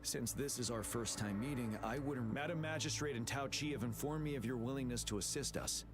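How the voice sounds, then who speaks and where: An actor playing a composed, dutiful young general in a video game, speaking in a formal, measured, earnest manner. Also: male voice, mid-range voice, natural voice. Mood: formal, courteous. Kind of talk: scripted video game dialogue. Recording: game music behind the voice.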